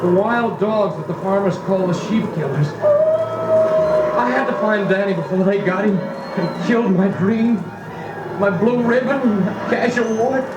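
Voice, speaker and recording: A man's voice: high voice, unhurried, highs cut off. An actor playing an earnest country man who narrates his boyhood dramatically in a 1940s radio drama. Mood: desperate. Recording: roomy 1940s record. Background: music.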